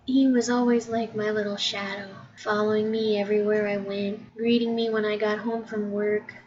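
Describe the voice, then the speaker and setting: A young woman talking softly and sincerely in a personal monologue, close to tears at times. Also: mid-range voice, normal speed, crowd noise. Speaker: podcast host. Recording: distant mic, dull sound, steady background hiss.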